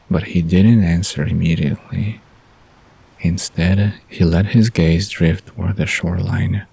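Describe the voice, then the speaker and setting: Deep, gravelly, slow male storyteller. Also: mellow, poor audio. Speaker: narrator. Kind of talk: scripted story narration for bedtime audio drama.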